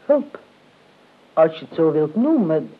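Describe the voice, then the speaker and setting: An actress in a Dutch radio play, playing an imperious, blunt older aristocratic aunt who speaks formally and with dry restraint. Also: female voice, sits low, slow, exaggerated voice. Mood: cool, dry. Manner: formal, crisp, deadpan. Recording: dull lo-fi radio recording.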